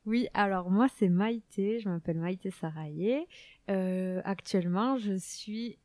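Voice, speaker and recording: A woman from southwest France with a southwestern French accent, a podcast guest answering casually with many pauses and fillers. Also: medium pitch, mellow, dry recording. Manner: hesitant, many fillers, laughs easily.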